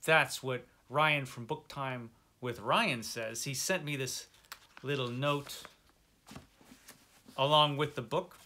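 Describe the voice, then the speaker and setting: Bright-voiced man chatting casually, wryly self-deprecating. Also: mid-range voice, fairly even intonation, slow pace, pauses often. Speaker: host of a book review video channel. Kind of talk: casual chat to camera about books.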